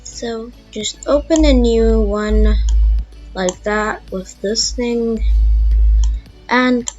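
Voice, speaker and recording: Slow-paced, high-voiced young-sounding woman tutorial host. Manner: informal, thinking aloud while demonstrating. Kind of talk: casual voiced software tutorial. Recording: dull lo-fi mic, background music.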